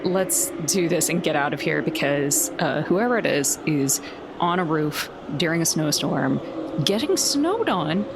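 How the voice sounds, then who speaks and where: A woman's voice: sits low, lively intonation, measured pace, vocal fry. Blunt woman gamer over heavy noise. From south Louisiana, United States. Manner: blunt, sweary, wry. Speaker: player in a tabletop role-playing game. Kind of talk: casual game chat.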